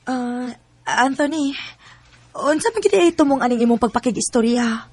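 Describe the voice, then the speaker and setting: A woman's voice: high-pitched, normal speed, breathy edge, soft background music. A woman with a Cebuano accent playing a hurt but devoted young single mother in a radio drama, emotive and pleading. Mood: guarded, hesitant. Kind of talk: Bisaya radio drama acting.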